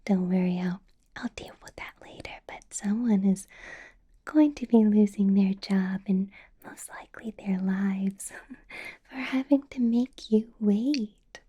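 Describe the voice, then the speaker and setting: A woman voicing a sweetly possessive, menacing female vampire boss in a soft, breathy ASMR whisper. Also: mid-range voice, takes it slowly. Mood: silkily menacing. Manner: sweetly possessive, amused with an undercurrent of threat. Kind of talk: ASMR roleplay.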